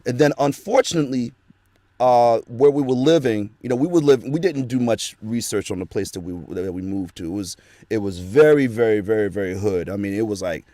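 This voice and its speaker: Low-voiced guy rambling through his story, lots of "you know", dry home mic sound. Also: brisk, mellow, no echo. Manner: rambling with many fillers, earnest and religious. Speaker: guest, actor and voice actor. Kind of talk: casual interview storytelling. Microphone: home studio microphone.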